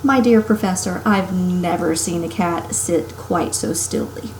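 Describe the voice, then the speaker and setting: A woman voicing a gentle, amused, very old male wizard from a storybook, in a mild and measured manner. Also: lowish voice. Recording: roomy echo, faint background noise.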